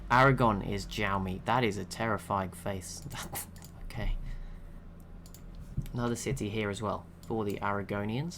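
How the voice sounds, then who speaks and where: A young man giving relaxed, chatty commentary over a strategy game he is watching, laughing to himself now and then. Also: lowish voice, measured pace, noisy background. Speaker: gaming channel host.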